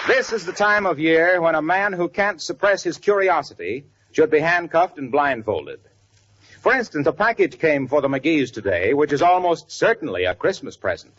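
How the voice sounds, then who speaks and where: A man's voice: on the high side, very animated, normal speed, fairly bright tone. A male announcer reading scripted 1940s radio copy and sponsor ads in a polished, salesmanlike delivery. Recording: muffled vintage radio recording.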